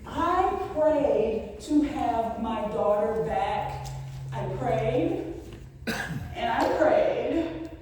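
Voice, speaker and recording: A middle-aged woman giving a humorous storytelling speech on stage, theatrical, with dramatic pauses and emphasis. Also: on the high side, animated. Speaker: speech contest speaker. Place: large indoor hall, before an audience. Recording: very echoey hall, distant mic, faint noise.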